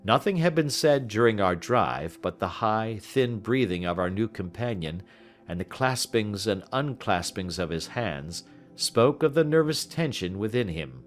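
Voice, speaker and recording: A man's voice: lowish voice, animated, unhurried, faint music behind. A man reading a scripted story as an audiobook narrator. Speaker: audiobook reader.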